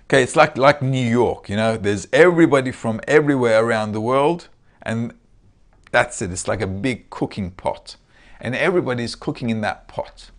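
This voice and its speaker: Turkish-accented male lecturer, gravelly mid-range voice, friendly check-ins with the audience. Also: medium pitch, normal speed. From Turkey. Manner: conversational, checks in with listeners often. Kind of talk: casual spoken lecture. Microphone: video call.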